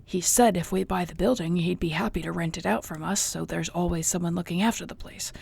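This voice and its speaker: A woman playing an affectionate orc warrior girlfriend in an intimate audio roleplay, speaking softly and tenderly with a husky voice. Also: on the low side, steady tone, heavily acted. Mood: warm, hopeful. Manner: soft, tender, unhurried, often near a whisper.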